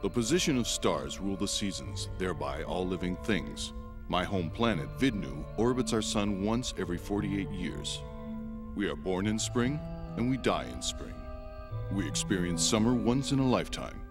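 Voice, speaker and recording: Give me a solemn, deep-voiced alien mystic. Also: male voice, animated, normal speed, over music. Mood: solemn, foreboding. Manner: grave, portentous. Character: solemn, fatalistic male alien believer in omens. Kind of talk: English anime dub acting.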